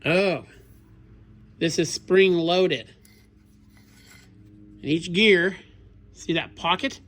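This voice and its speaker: A man's voice: high-pitched, extremely slow, a bit bright, slight background noise. A man with a cold, sniffling now and then, thinking aloud in casual commentary as he takes apart an old machine in his shop. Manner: thinking aloud, plain-spoken, musing. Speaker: machinist, channel host.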